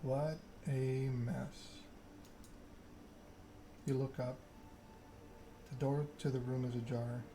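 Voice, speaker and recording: A man's voice: medium pitch, little pitch movement, slow, pauses often. A man reading a story game aloud in an ASMR narration style. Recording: clear background ambience noise.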